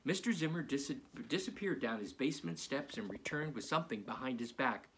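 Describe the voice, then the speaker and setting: A man reading aloud as a children's author, in an animated storybook style. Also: mid-range voice, fairly quick, slight background noise, rough recording.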